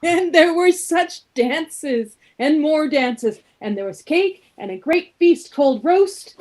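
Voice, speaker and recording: A woman narrating a radio play as an expressive storyteller, reading scripted prose. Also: high-pitched, slow pace.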